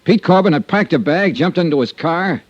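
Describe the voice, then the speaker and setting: An actor playing a skeptical, wry private investigator in a 1950s radio detective drama, with a dry, hard-boiled delivery. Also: male voice, on the high side, animated, normal speed, no room sound. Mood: brooding narration. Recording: dull old radio recording, music.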